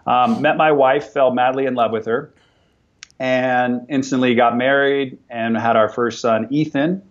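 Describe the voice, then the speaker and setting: A man's voice: medium pitch, unhurried. A man in his forties talks about running his company with upbeat, motivational drive. He often stumbles over and repeats his first words. The recording sounds dull and a little lo-fi. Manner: energetic, motivational, repeats words when starting sentences. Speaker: business owner and podcast guest. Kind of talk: conversational interview.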